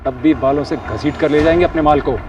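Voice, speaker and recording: A man's voice: fairly high pitch, fairly quick, distant mic, music in the background, close to an everyday voice. A man playing a bullying gangster kidnapper who taunts and threatens in a TV crime drama. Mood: threatening. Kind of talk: Hindi TV crime drama acting.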